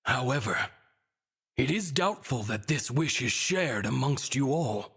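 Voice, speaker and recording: A man playing a lofty, amused godlike being in a video game cutscene, speaking in grand, deliberate, archaic phrases. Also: medium pitch, lively intonation, vocal fry, a bit bright, breathy edge, heavily acted. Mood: solemn, imperious. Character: lofty, ancient male godlike being granting wishes, amused and formal.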